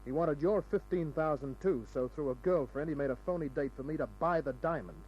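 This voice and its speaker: An actor playing a tough, sardonic private detective in a clipped old-time radio crime style, on a noisy old broadcast. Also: male voice, on the high side, a little fast, muffled recording, faint music behind, natural voice. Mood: confident. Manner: hard-boiled, sardonic, clipped. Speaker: actor playing the lead and narrator.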